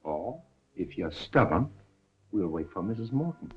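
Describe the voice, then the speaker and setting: An actor playing a stern, coolly threatening male foreign agent in a 1930s crime film, with stiff, formal, deliberate delivery. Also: sits low, very animated, breathy edge, full character voice. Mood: cool threat. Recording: muffled 1930s film soundtrack, faint music.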